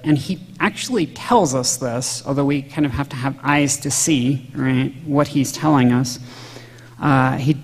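A man's voice: a little high, vocal fry. A middle-aged man giving an earnest, explanatory conference lecture at a lectern in a large hall, sometimes correcting himself mid-sentence. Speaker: conference speaker. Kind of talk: conference lecture on church history. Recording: long hall reverb.

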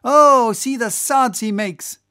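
An audiobook reader voicing an excitable, hot-headed whaling mate, frantic and begging in exclamations. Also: medium pitch, a little slow, pitched above the performer's own voice, lightly acted. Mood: frantic, pleading. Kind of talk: animated audiobook character voice.

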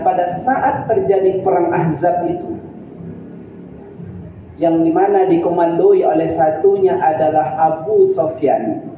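A man's voice: high-pitched, slight background noise. A man in his thirties giving a Friday sermon, telling a story with earnest, rising emphasis, recorded on a webcam. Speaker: Friday preacher. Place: room in front of a green-screen backdrop. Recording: distant, very roomy, dull lo-fi webcam sound.

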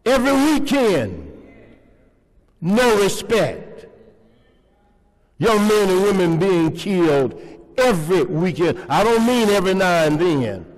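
Older pastor preaching slowly in a church. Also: male voice, high-pitched, very animated. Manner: preaching with call-and-response questions to the congregation. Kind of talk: sermon. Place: large church sanctuary, indoors. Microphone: pulpit microphone. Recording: long reverb of a church hall.

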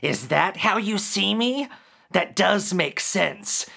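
Brief: narrator doing a sly little grief demon for a storybook, hissing, drawn-out, pitched high and strange. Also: lively intonation, creaky, pushed and bright, heavily acted. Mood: knowing. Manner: hissing, slow and knowing. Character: sly male grief demon, small, mocking.

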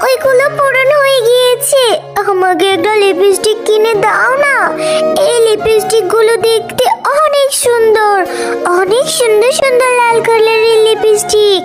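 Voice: very high-pitched, normal speed. A performer voicing an eager, wheedling little girl animal in a children's cartoon story, in a childlike singsong. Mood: pleading. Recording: loud music behind the voice. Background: loud music.

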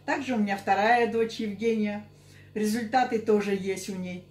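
Woman in her late sixties, medium pitch, halting interview answers, repetitions, roomy echo. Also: fairly even intonation, a loud crowd. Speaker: interviewee giving a product testimonial. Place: small curtained indoor office. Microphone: camera a couple of metres away. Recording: echoey room.

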